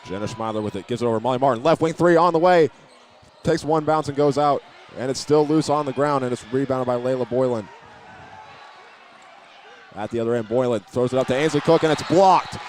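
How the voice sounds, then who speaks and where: A man calling live high school basketball play-by-play as a broadcaster from inside a gym. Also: fairly quick, a little mellow. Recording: faint gym noise behind the voice.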